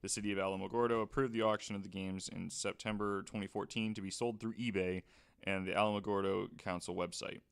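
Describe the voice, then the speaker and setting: Guy with a lowish voice reading notes out loud on a podcast, trips on names, cracks jokes. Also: little pitch movement, fast, creaky, clean tone. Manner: reads from notes, joking asides, stumbles on names. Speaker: podcast host reading out a story. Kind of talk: casual chat, reading aloud.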